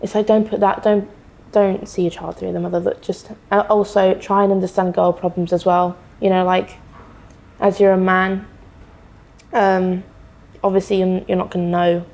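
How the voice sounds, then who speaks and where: Creaky-voiced woman, earnest and halting. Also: medium pitch, flat delivery. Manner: earnest, halting, trails off softly. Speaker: guest. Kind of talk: casual discussion.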